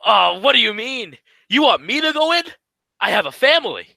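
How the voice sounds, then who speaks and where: A man's voice: very high-pitched, very animated, moderate pace, gravelly, sharp tone, dry recording, heavily acted. A man playing a bossy, cajoling, self-serving straight man in a vintage-style comedy radio double act. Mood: indignant, bossy.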